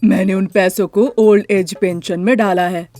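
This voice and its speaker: A woman's voice: medium pitch, fairly even intonation, normal speed, warm tone, close to an everyday voice. A woman voicing a scheming, stingy middle-aged mother-in-law in a story, brazen and bossy, with music behind.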